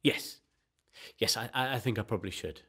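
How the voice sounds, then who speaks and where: A man's voice: lowish voice, moderate pace, pushed and bright, slightly rough. A man playing an obsessive, fragile geography teacher in a comedy radio play, stammering and pedantic. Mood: sheepish, evasive. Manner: hesitant, stammering.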